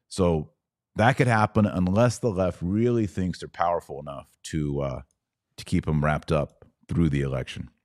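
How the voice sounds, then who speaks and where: American man, podcast host fielding live comments; very low voice, dry close-mic sound, conversational and questioning. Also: a little mellow, dry recording. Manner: conversational, poses rhetorical questions, thinks aloud. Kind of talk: live commentary, reading and answering viewer comments.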